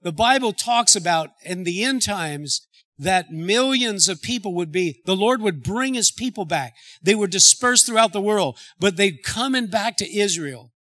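A man's voice: high voice, expressive, a little slow, pushed and bright. A man, the church's pastor, earnestly introducing a guest speaker and reading scripture. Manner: earnest, with restarts. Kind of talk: sermon introduction.

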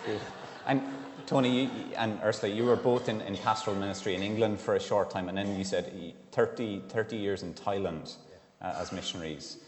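Brief: deep minister, halting, roomy church echo with faint noise. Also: male voice, fairly even intonation. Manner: hesitant, repeating words. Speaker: church minister leading the service. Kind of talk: casual interview in a service.

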